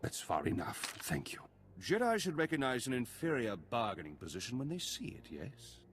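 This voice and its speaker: Smooth-mannered evil officer for a game, condescending n polite, mid pitch, some background noise. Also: male voice, huge pitch swings, moderate pace. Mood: smug, polite. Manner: smug, courteous, condescending. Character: polite, menacing male Imperial officer. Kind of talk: video game villain acting.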